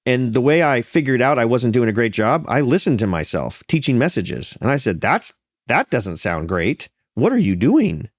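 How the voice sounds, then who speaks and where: A pastor being interviewed, talking in an earnest, storytelling way with a slightly congested, nasal sound and frequent restarts. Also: male voice, medium pitch, sing-song, normal speed, dry recording, clean studio sound. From Florida. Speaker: pastor and podcast guest. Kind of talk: conversational interview.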